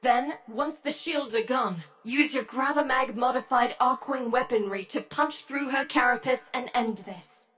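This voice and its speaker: A woman's voice: sits high, measured pace, few pauses, a bit bright. An actress voicing a determined woman who leads a rebel operation in a video game, giving orders with an authoritative briefing manner. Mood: resolute. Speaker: mission commander. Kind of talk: video game character acting.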